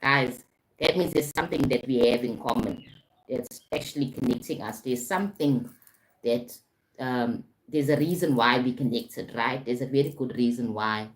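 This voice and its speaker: A woman with a remarkably deep, low voice hosts a casual spiritual live stream. She talks loosely and keeps checking in with her viewers, repeating words as she goes. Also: moderate pace, rough recording. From South Africa. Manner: repetitive, frequent "right?" check-ins. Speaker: livestream host, spiritual coach.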